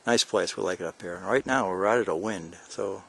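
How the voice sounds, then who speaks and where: A man's voice: sits low, fairly quick, vocal fry, fairly bright tone, breathy edge. An older man casually narrating a hike outdoors, rambling and joking with lots of fillers, filmed on a handheld camera. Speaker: hiker filming a vlog. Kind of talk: casual narration while hiking. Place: outdoors on a mountain trail, windy.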